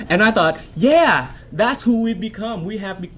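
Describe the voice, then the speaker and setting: A man in his thirties whose first language is Vietnamese, answering audience questions at a podium mic, thoughtful, with many ums and restarts. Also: high voice. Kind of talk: public Q&A. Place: lecture room at a podium.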